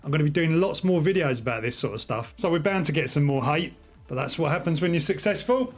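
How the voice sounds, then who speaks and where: A man's voice: on the high side, expressive, quick pace, soft background music. A middle-aged man with a British accent talking casually and informally to his viewers as a video host. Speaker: video host, radio hobbyist. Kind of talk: casual vlog talk to viewers.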